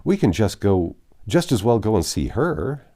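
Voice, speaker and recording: Man putting on a simpering, silly woman, pitched up. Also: very animated, normal speed, mellow. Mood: pushy. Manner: affected, simpering.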